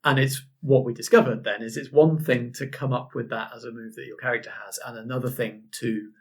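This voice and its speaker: A man's voice: mid-range voice, fairly even intonation, fairly quick. Male host and game master, mid-range voice, informal explanatory chat.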